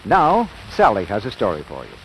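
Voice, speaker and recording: A man working as an announcer, delivering a 1940s radio introduction and sales pitch. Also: huge pitch swings, fairly quick. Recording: old radio recording with faint noise.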